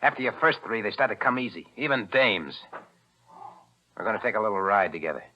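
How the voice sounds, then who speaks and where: A man plays a cold-blooded gangster from a 1940s radio thriller, talking tough street slang in a fairly low voice. The sound is muffled, like an old broadcast. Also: animated, brisk, a little hiss or hum, close to an everyday voice. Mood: menacing. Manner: slangy tough-guy talk.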